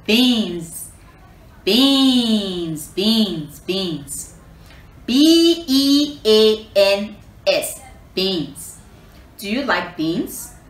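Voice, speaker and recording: A woman's voice: mid-range voice, big pitch swings, extremely slow, long pauses. A woman hosting a children's storytelling session, teacherly, repeating herself and putting questions to her listeners. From Liberia. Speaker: storytelling host reading to children. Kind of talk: animated children's story reading and lesson. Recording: roomy echo, clear background noise.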